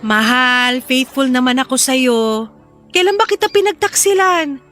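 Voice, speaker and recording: A woman's voice: sits high, measured pace, fairly bright tone, soft background music. An actress with a Filipino accent playing an insistent wife who pleads with and coaxes her reluctant husband in a radio drama. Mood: insistent, coaxing.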